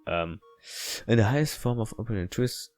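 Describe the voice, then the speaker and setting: A man with a fairly low voice and a non-native English accent hosts a let's play. He reads the story out loud in a halting, stumbling way and drops into casual asides. Soft music plays behind him. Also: animated. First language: not English. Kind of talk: reading a visual novel aloud with casual asides.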